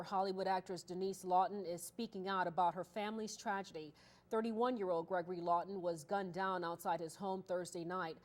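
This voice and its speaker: An American woman working as a TV news anchor, reading scripted news narration. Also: mid-range voice. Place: TV news studio.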